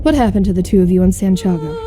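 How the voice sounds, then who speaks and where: A woman's voice: lowish voice, lively intonation, rapid-fire, very noisy, natural voice. An actress playing a hot-tempered, outspoken young female special forces soldier in a radio drama, blunt in manner. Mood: direct, probing.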